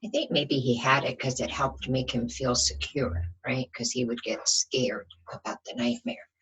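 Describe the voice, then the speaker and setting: A woman reading a picture book aloud to young children like a teacher, expressive, often asking the listeners questions. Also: rumbling low, normal speed, breathy edge, poor audio. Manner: asks the listeners questions, dramatic emphasis.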